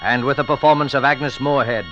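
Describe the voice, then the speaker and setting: An actor playing an ominous, formal host of a 1940s radio thriller series. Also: male voice, medium pitch, steady tone, dull audio, natural voice. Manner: formal, measured, dramatic. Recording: vintage 1940s radio broadcast. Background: music.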